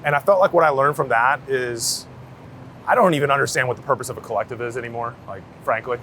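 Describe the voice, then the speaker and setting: A man's voice: medium pitch, fast talker, a bit bright. A man talking casually and informally as a street photographer being interviewed, with slangy, sweary phrasing. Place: outdoors on a city street. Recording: outdoor city street noise, traffic.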